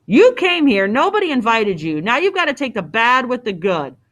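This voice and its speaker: A big, smugly menacing storybook innkeeper, acted out by a woman, talks in a blunt, bossy way. His lines are matter-of-fact and lively in pitch. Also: measured pace, heavily acted. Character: big brutish innkeeper, menacing, smug, old man.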